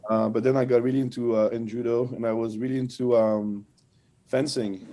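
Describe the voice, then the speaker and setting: A man with a French accent speaks in a mellow, relaxed voice at a medium pitch. He is an interview guest, recounting his experiences in loose, conversational English. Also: a little fast. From France and French-speaking Switzerland. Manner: conversational storytelling with frequent "like". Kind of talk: casual interview chat.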